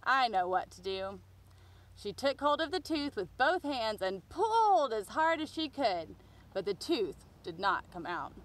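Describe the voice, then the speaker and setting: A woman's voice: high voice, big pitch swings, measured pace. A woman reading a picture book aloud expressively for young children. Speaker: children's storybook reader.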